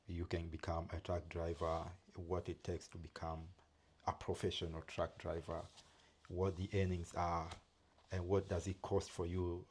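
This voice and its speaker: A man hosting a channel, talking casually with plenty of fillers and restarts. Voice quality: many fillers and restarts, non-native phrasing. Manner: loud and high-pitched when speaking outdoors. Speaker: channel host. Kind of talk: casual vlog interview.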